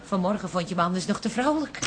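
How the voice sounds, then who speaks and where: A woman's voice: on the low side, lively intonation, fast, background noise, natural voice. An actress playing an anxious but feisty middle-aged working-class woman in a radio drama, blunt and plain-spoken. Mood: worried. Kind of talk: Dutch radio drama acting.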